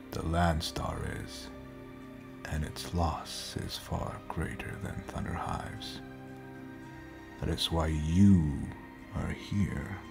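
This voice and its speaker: A man acting a cold, condescending space pirate captain and father in an audio drama, with a gravelly voice that drops into breathy whispers. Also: a bass voice, exaggerated voice. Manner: slow, measured, detached, menacing. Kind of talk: scripted audio roleplay drama.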